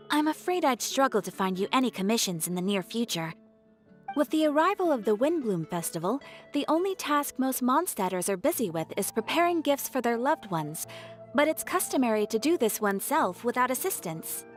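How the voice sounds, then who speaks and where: A polite, friendly female guild receptionist from a video game. She sounds composed and courteous, with a fairly high, lively, varied pitch. Faint music plays behind her. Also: normal speed. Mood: polite, pleasant. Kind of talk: video game cutscene voice acting.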